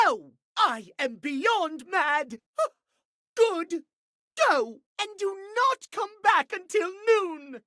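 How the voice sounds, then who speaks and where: A fussy stuck-up clock character for a game, talks slow n super proper, very dry close sound. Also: male voice, very high voice, sharp tone, dry recording, exaggerated voice. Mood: outraged. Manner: prim, haughty, precise elocution. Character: pompous, fussy male clock obsessed with punctuality. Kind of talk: theatrical video game character acting.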